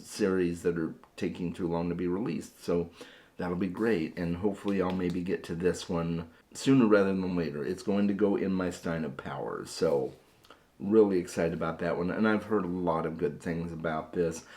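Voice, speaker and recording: Book vlog host: very deep, hoarse male voice, easygoing chatter with frequent restarts. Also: fairly even intonation, normal speed, a little mellow. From Phoenix area, USA. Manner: chatty, repeats words when starting sentences. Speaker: book vlogger. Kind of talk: casual chat to camera.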